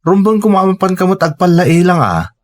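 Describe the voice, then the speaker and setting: A man's voice: high-pitched, big pitch swings, brisk. A Filipino man playing a caring, teasing friend and fellow overseas worker in a radio drama. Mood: teasing, coaxing.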